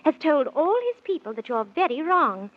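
A good queen in 1930s children's radio. The voice is high and very animated, reassuring, with theatrical sing-song rhymes. The vintage recording is muffled. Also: normal speed. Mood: reassuring, instructive. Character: good, grandly reassuring storybook fairy-tale queen.

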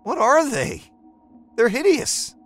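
A man's voice: extremely high pitch, huge pitch swings, takes it slowly, soft background music, pitched above the performer's own voice, heavily acted. A man playing an exasperated, scolding wife. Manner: sharp, scolding. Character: exasperated wife, adult woman, scolding and blunt.

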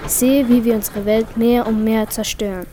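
A woman's voice: a little high, fairly even intonation, moderate pace, mellow, slight background noise. A girl aged ten to twelve reading a scripted poetic text. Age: child, 10 to 12. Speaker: young workshop participant reading own text.

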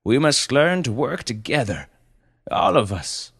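A man voicing a giant male panda leader in a storybook tale, speaking in a slow, stately and grandiose way. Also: low-pitched, lively intonation, heavily acted. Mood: grand, rousing.

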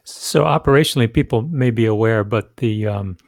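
A man's voice: on the low side, normal speed, creaky, no echo, studio quality. A man hosting a podcast who chats casually with a guest and often restarts his sentences. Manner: chatty, with repeated restarts. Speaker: podcast host. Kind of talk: casual interview chat.

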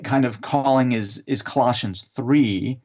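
A man answering interview questions as an author and theologian, explaining in a measured, teacherly way with some fillers. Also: medium pitch, a little mellow, slightly rough, lo-fi sound.

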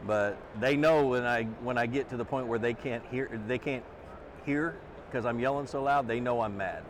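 A middle-aged man with a loud voice, talking earnestly as a podcast guest and repeating words as he thinks. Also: mid-range voice, normal speed, slight background noise, low-quality recording. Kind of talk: casual interview conversation. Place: trade show booth, outdoors.

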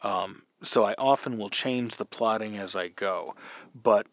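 Low-voiced man chatting, close dry sound. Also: measured pace, no room sound. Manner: explanatory, conversational. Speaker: podcast host, novelist. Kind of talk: casual advice talk answering a listener question.

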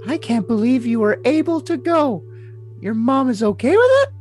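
A man's voice: very high voice, lively intonation, normal speed, darkish tone. A man voicing a lovesick, scheming young woman in a cutesy, coy, overly dramatic comic reading of messages. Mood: sweetly plaintive. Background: music.

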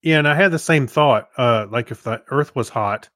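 A man's voice: medium pitch, normal speed, fairly bright tone, no echo, clean studio sound. A man in casual conversation as a podcast co-host, tentatively thinking aloud. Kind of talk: casual chat.

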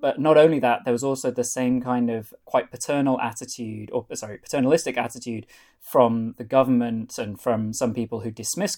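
A man from North Wales explains himself as a guest on an interview show. He talks earnestly at an ordinary pitch. His delivery is loose, full of restarts and filler words. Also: a little mellow. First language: Welsh. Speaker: author and guest. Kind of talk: podcast interview.

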